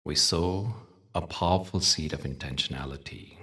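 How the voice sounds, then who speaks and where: A man leading a guided meditation in a soothing, measured way, leaving long pauses between short phrases. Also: rumbling low, fairly bright tone, recorded from a distance. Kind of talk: guided meditation with spoken teaching.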